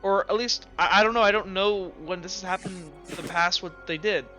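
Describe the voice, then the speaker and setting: Excitable, high-voiced man running a game. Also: brisk. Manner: excitable, stammering. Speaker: game master. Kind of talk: casual improvised tabletop game narration. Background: faint music.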